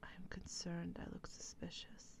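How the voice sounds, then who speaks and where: A woman voicing an anxious, guarded female vampire in a whispered ASMR roleplay, breathy and hesitant. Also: natural voice. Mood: grave, worried. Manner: breathy, hesitant, slow and halting.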